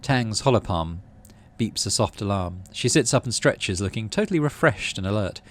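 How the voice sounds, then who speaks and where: A man's voice: on the low side, animated, normal speed. A man casually hosting a game playthrough, reading narration aloud and muttering his thinking as he plays. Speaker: gaming channel host.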